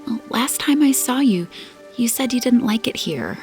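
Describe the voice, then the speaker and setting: An actress in a scripted audio drama playing a young, inquisitive princess, earnest and questioning, sometimes dropping into hushed asides. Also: female voice, a little high, animated, normal speed, soft background music. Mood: gentle, coaxing. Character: young inquisitive princess and future queen, quietly probing, soft-hearted.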